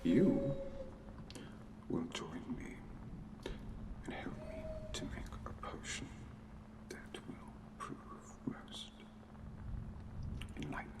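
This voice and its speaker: A performer voicing a stern, sardonic potions professor in a whispered ASMR roleplay, slow, deliberate and condescending, with clipped commands. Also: medium pitch, huge pitch swings, distant mic, rough recording. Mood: stern, commanding. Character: stern, sardonic potions professor interrogating students at wizard school.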